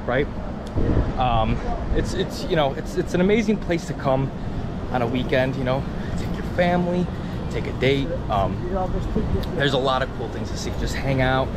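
A man in his thirties giving a casual, chatty walking tour outdoors, filmed on a handheld camera at arm's length, with plenty of ums and you-knows. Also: mid-range voice. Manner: casual, chatty, many fillers. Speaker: travel vlogger. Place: outdoors on small-town streets. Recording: outdoor street noise behind.

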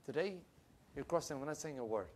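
Young male rabbi, animated lecturer with a higher voice, lapel mic. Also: a little fast. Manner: animated, with big swings in pitch and loudness and lively hand gestures. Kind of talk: animated Torah class. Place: wood-panelled room with bookshelves.

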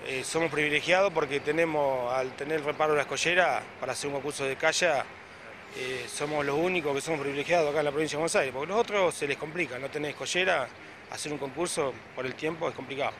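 A man's voice: on the high side, quick pace. Chatty Argentine man interviewed outdoors. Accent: Argentine Spanish. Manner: chatty, many "eh" fillers and restarts. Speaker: club president, event organizer. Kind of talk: casual on-camera interview. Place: outdoors by a beach, in front of hedges. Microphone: handheld reporter mic close below chin. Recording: clear background noise outdoors.

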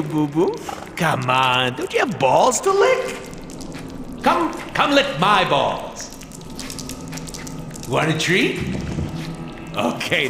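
A man's voice: high voice, gravelly, background noise, heavily acted. A man playing a manic, wisecracking, crude costumed antihero in a video game, goofy and hammy. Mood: exasperated. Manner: goofy, hammy, talks to himself. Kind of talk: animated video game voice acting. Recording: loud game music and explosions behind.

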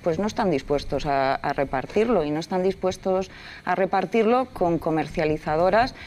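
A woman's voice: on the low side, animated, normal speed, darkish tone, a little hiss or hum. A woman in her forties from Castilla-La Mancha, a guest in a TV studio interview, explaining earnestly with many fillers. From Castilla-La Mancha, Spain. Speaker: environmental activist guest. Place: TV studio set, leather armchair.

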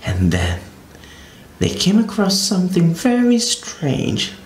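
A man reading a children's picture book aloud as a storyteller, in an expressive, very animated way. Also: sits high, slow pace. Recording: echoey room with faint ambient noise.

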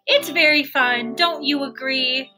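A woman's voice: sits high, a little slow, sharp tone, faint music behind, exaggerated voice. A woman voicing bossy, insistent jungle animals from a storybook in a coaxing, sing-song way.

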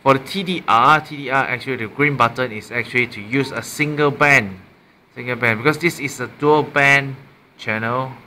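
Malaysian man, casual gadget demo, sharp bright tone, stop-start delivery, faint noise on a handheld camera mic. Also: medium pitch. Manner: halting, often repeating and correcting himself. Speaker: amateur product reviewer. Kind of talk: casual unscripted gadget demonstration. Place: small room indoors at a desk. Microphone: built-in mic of a handheld camera.

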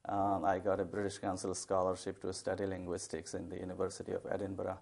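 A low-voiced man from Bangladesh, a professor and poet, speaking in a measured, thoughtful way. He fills his pauses with frequent ums and uhs. It sounds like a lapel mic in a television studio. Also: completely flat delivery, gravelly, darkish tone. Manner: measured, thoughtful, with many fillers. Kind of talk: studio interview.

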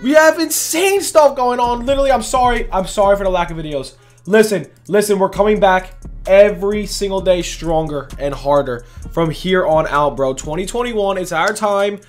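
A young man with a voice going hoarse, hosting a casual gaming video in hyped, rambling talk full of "literally" and "bro". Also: sits high, normal speed, faint music behind. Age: young adult. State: losing his voice. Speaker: gaming channel host. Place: indoors at a gaming setup, face cam.

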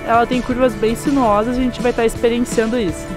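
A young woman from Brazil narrating a travel vlog in a calm, descriptive way, speaking outdoors at scenic spots. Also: on the high side, quick pace. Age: young adult. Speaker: travel vlogger. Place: outdoors on a windy overlook and city streets. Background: background music.

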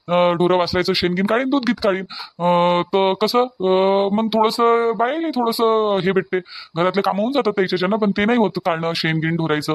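A man's voice: high voice, no echo, studio quality, lightly acted. A man playing a mild, deferential village family man in a cartoon, hesitant and repetitive, with a Varhadi Marathi accent. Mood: hesitant, coaxing. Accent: Varhadi (Vidarbha) Marathi. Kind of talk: amateur Marathi cartoon family comedy acting.

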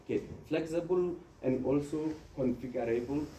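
A man leads an informal product training session in an explanatory way. His voice is very dark and sits back from the microphone in a reverberant room. There is faint noise behind him as he talks through practical examples. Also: a little high, normal speed. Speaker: trainer presenting security products to installers. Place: indoor presentation room with large screen. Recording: dark, echoey, distant room recording with noise.

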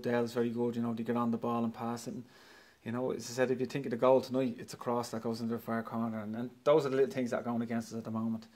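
A quick-talking Irish man in his forties answers post-match questions into a handheld mic. His delivery is flat and even, matter-of-fact and repetitive, frustrated yet upbeat about his team. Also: mid-range voice. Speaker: football manager. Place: clubhouse trophy room, indoors. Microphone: handheld mic held by interviewer.

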